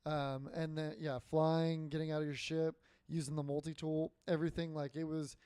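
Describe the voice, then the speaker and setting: A man co-hosting a casual gaming podcast, rambling with lots of fillers and swearing, his voice getting hoarse and dry. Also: fairly high pitch, level tone, normal speed, mellow, no room sound. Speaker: podcast co-host.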